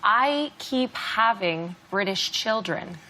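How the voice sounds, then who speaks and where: A woman comedian doing a stand-up routine with a dry, deadpan delivery. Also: mid-range voice, takes it slowly. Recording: loud live audience and noise.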